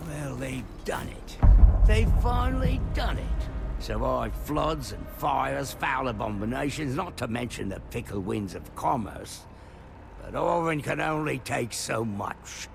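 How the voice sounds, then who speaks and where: A man's voice: mid-range voice, big pitch swings, measured pace, creaky. An actor playing an exasperated farmer in a video game, grumbling theatrically about his lot. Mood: bitter, weary. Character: exasperated gravelly turnip farmer, grumbling and fed up. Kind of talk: video game character acting. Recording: music behind the voice.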